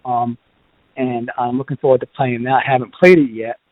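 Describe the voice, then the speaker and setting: A man's voice: mid-range voice, fairly even intonation, normal speed, dry recording. A man with a Boston accent hosting a podcast, chatting casually through reviews with lots of ums and uhs. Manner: rambling, many ums and uhs. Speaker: podcast host. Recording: dry, phone-like lo-fi sound.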